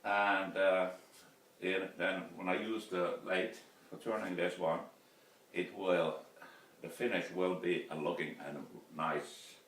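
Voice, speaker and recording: A Finnish man in his fifties speaks English with a very deep voice, slowly and haltingly. He is heard through a camera mic several feet away in a roomy workshop, with faint noise behind him. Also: fairly even intonation, fairly bright tone. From Finland. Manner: slow, halting, many fillers and restarts. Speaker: woodturner vlogger. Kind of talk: casual workshop vlog. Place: cluttered indoor wood shop. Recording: roomy workshop sound from a distance.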